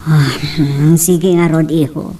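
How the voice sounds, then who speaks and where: A woman's voice: low voice, fairly even intonation, slow pace, mellow, far from the mic, slight background noise. A woman playing a doting, skeptical middle-aged mother in a radio drama, with an Ilocano Filipino accent. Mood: surprised, doting.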